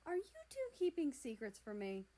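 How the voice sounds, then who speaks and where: Woman voicing an eager, bubbly younger brother, pitched up. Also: huge pitch swings, moderate pace, warm tone, heavily acted. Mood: playful.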